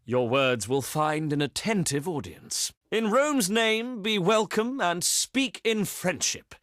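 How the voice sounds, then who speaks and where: An actor playing a dignified, shrewd Roman envoy, delivering formal diplomatic oratory for a video game. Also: male voice, high voice, lively intonation, slow pace, fairly bright tone, no room sound, clean studio sound, full character voice. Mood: welcoming.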